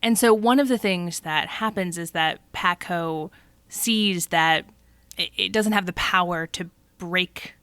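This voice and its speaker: A woman's voice: fairly low pitch, measured pace, fairly bright tone, smooth, no echo. A woman co-hosting a history podcast, explaining events at length with frequent ums and checking in with her co-hosts. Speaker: co-host. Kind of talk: conversational history podcast discussion.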